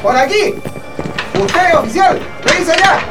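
A man playing a bossy prison guard commander in a radio drama, shouting clipped orders at inmates. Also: extremely high pitch, lively intonation, takes it slowly, over loud music, full character voice. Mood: barking orders.

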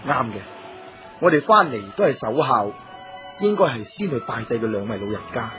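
An earnest scholar-official with a mid-pitched, very animated Cantonese delivery. He is courteous and formal in old radio-play manner, with music clearly underneath. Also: normal speed. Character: dutiful, courteous scholar-official husband, filial son. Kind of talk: Cantonese radio drama acting.

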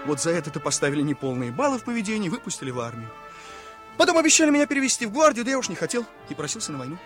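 An actor playing an eager, naive young officer and younger brother in a theatrical, exclamatory radio drama style. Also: male voice, high voice, big pitch swings, rapid-fire. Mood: confiding, rueful. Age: young adult. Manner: exclamatory, boyishly chatty, emotive. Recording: radio play with faint music.

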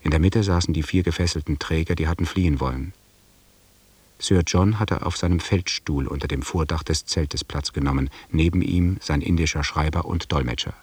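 An actor playing an expedition member who soberly narrates events in a German audio drama, matter-of-fact and scripted. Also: male voice, a bass voice, steady tone, normal speed, breathy edge, no echo, far from the mic.

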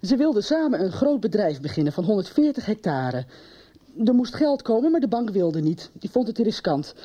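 An actress playing a bitter farmer's wife in a conversational radio soap scene. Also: female voice, mid-range voice, very animated, moderate pace, mellow, highs cut off. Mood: bitter, sardonic. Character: bitter farmer's wife confiding about a ruinous deal.

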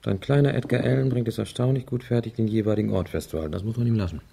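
An actor playing a confident husband who speculates over grim clues in a conversational, assertive way in a radio crime drama. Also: male voice, fairly low pitch, very fast, gravelly, warm tone, slightly rough. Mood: grimly certain.

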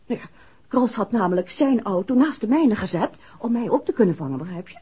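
An actress playing a composed, caring detective's wife in a 1960s radio play, with a husky voice. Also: female voice, medium pitch, lively intonation, a little fast, mellow. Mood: explaining. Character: composed, caring detective's wife recounting events to her husband. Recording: dull, muffled 1960s radio recording.

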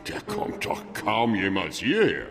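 Radio crime play: guarded bar proprietor, very deep voice, music behind. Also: male voice, huge pitch swings, a little slow, exaggerated voice. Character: smooth, wary male bar owner. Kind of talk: German radio crime drama acting.

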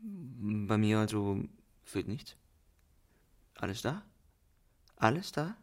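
A man acting a cool, distrustful 1920s con man in a radio play, speaking in terse, deliberate phrases. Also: on the low side, sing-song, a little mellow. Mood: cold, guarded.

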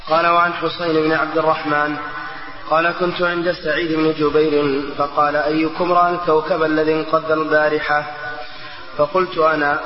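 A man's voice: fairly high pitch, level tone, brisk, faint music behind. A man reading a religious text aloud in a recitational manner, as a student reader would. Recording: muffled, very echoey hall.

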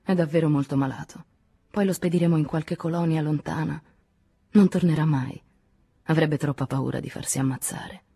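A woman's voice: deep voice, steady tone, normal speed, vocal fry, mellow, slightly rough, exaggerated voice. A woman voicing a pragmatic, weary adult woman in an audiobook, firm and brusque as she reasons. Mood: firm, reasoning. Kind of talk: dramatic audiobook character acting.

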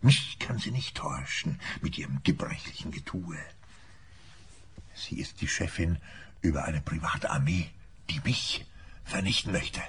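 An old man in a radio drama, convinced of plots against him. His deep voice moves slowly, grave and conspiratorial, with faint noise behind it. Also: animated, a bit bright, exaggerated voice. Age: older. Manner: secretive, grave, intense. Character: paranoid old man, conspiratorial, self-important war fantasist. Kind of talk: radio play acting.

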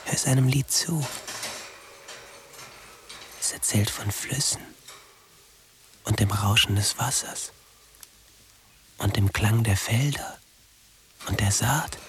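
A man's voice: medium pitch, slight background noise. An actor playing a dreamy, introverted young man in a radio drama, musing in a detached, lyrical way. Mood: hushed, lyrical.

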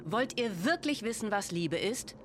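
Actress as defiant woman of middling pitch. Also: animated, a little slow, very noisy, lightly acted. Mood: reproachful, intense. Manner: emphatic, defiant. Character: defiant, world-weary woman lecturing those she loves. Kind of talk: satirical radio play monologue.

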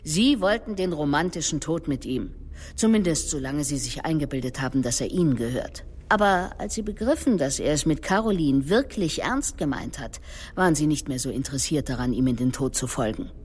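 A woman's voice: sits low, lively intonation, a little fast, a little mellow, breathy edge. An actress playing a sardonic, menacing police detective in a radio drama, needling and sarcastic as she questions suspects. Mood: accusing, sardonic. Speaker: police investigator.

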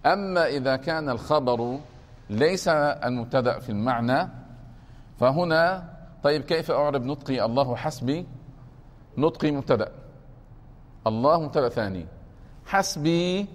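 A man teaching a grammar class, explaining slowly and repeating points, often stopping to ask whether it is clear. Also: medium pitch. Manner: deliberate, repeats points and keeps asking if it is clear. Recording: long reverb of a hall.

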